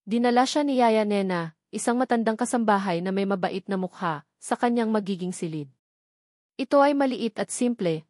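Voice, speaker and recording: A woman's voice: medium pitch, a little mellow, no echo, clean studio sound. Woman narrating a dramatic love story.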